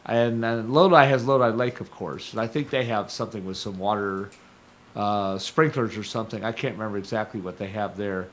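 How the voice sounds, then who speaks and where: Older man, hoarse and losing his voice. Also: on the low side, a little fast, fairly bright tone. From Stockton, California. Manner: rambling, digressive, self-correcting. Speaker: radio host. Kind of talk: casual radio chat. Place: community radio studio. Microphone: studio microphone.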